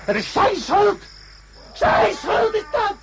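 An actor playing a delirious, obsessed astronaut in a radio drama, raving and repeating himself. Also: male voice, very high-pitched, sing-song, slow, a loud crowd, exaggerated voice. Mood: frantic rage. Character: delirious, frantic male astronaut stranded in jungle, obsessed. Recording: radio drama with loud insect noise. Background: jungle crickets and insects.

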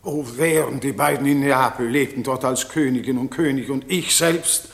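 A man's voice: medium pitch, moderate pace, lightly acted. An actor playing a penitent, emotional king and grieving father in a classic radio play, declaiming verse fervently. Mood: sorrowful.

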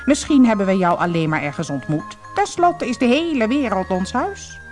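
Woman putting on a high, squawking, greedy raven. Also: sing-song, normal speed, darkish tone, faint music behind, natural voice. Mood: puzzled, pondering. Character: boisterous, greedy, cheeky male raven. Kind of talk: storybook character voice.

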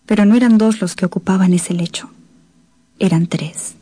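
A woman's voice: medium pitch, normal speed, dark tone, husky, no room sound, close to an everyday voice. A woman narrating a tragic radio drama as a solemn storyteller, in scripted dramatic style.

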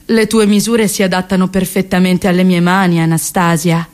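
A woman plays a romance-novel Casanova, dropping well below the performer's natural pitch. He is smug and commanding, delivering seductive lines with pompous seriousness in a parody. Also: level tone, normal speed, darkish tone, lightly acted.

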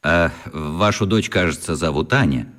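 A man's voice: sits low, expressive, moderate pace, a bit bright. An actor playing a polite, composed middle-aged father who speaks formally in a radio play. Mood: courteous.

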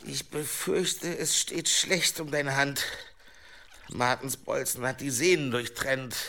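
An actor playing a grave monastery infirmarian in a German historical radio drama. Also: male voice, mid-range voice, fairly even intonation, takes it slowly, bright, forceful tone. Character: slow, grave male monastery infirmarian examining a patient.

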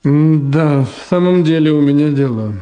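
An actor playing a wry, laconic state governor in a 1970s Soviet radio drama. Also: male voice, fairly high pitch, normal speed, mellow, a little hiss or hum, natural voice.

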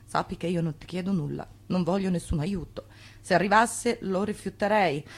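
An actress voicing a proud, resolute letter-writer in a solemn dramatic reading for a radio play. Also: female voice, fairly low pitch, moderate pace, slightly rough.

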